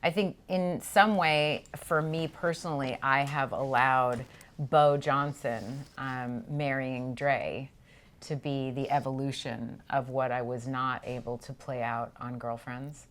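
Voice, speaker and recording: A middle-aged woman, an actress guest in a casual interview, talking thoughtfully with frequent pauses and ums. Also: extremely low pitch, fairly even intonation. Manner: thoughtful, hesitant with many ums.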